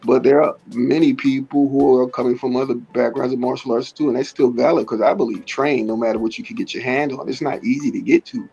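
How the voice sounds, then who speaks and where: A middle-aged man talks in a gravelly, raspy voice, pausing often and restarting his sentences as he answers questions. His speech sounds dull and lo-fi, with faint music underneath. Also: medium pitch, steady tone, a little mellow. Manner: halting, many fillers and restarts. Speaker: martial arts teacher, interview guest. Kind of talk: casual conversational interview.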